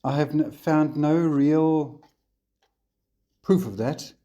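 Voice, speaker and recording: A man with a South African accent hosting a hobby channel, walking viewers through a kit in a relaxed tutorial style with frequent ums and uhs. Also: on the high side. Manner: relaxed, unhurried, frequent fillers. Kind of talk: casual tutorial walkthrough on camera.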